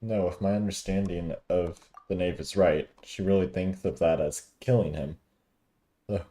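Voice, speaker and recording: A man's voice: sits low, fairly even intonation, normal speed, warm tone. A man who streams games, casually reacting and reading dialogue aloud. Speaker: gaming streamer.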